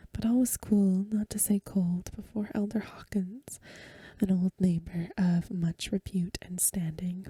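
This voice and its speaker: Breathy whispering woman reading ASMR. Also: mid-range voice, dry recording. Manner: hushed, unhurried, soothing storytelling. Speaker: ASMR reader and host. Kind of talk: soft-spoken ASMR reading of a Victorian story. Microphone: close microphone.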